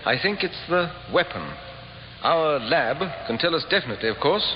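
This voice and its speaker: An actor playing a courteous, shrewd police inspector in a 1950s radio drama, probing in a formal, polite way. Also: male voice, a little high, animated, normal speed, background noise, lightly acted. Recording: muffled, echoing old radio recording.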